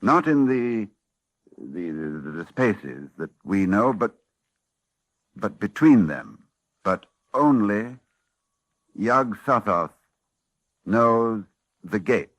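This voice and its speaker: An actor playing a learned doctor recounting horrors in a halting, dramatic way, in the style of 1940s radio drama. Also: male voice, lowish voice, very animated, no echo, exaggerated voice. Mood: grave, halting. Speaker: actor and narrator. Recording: restored 1940s radio recording with music.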